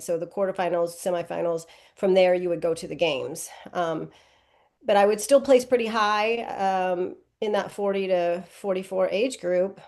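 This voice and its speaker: A woman's voice: lowish voice, a little mellow. A woman in her forties answering interview questions casually, rambling and correcting herself as she goes. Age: 40s. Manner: rambling, self-correcting, lots of "um" and "like". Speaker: guest athlete.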